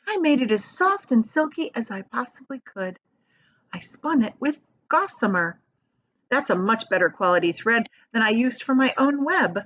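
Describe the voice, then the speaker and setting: Storybook spider lady, woman reader, polite and caring, high lilting pitch, lo-fi recording. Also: lively intonation, moderate pace, fairly bright tone, no echo. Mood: proud, kindly. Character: kindly, attentive female spider.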